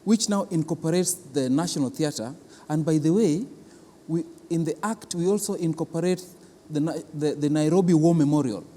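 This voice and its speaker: A man with a Kenyan accent giving explanatory interview answers as an arts institution official, halting and deliberate, often repeating words for emphasis. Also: on the high side, dark tone. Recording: long reverberant hall, clear background noise.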